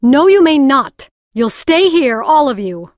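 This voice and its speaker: A woman playing a brisk, no-nonsense mage teacher in an audiobook drama. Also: high voice, sing-song, no room sound, clean studio sound. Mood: commanding.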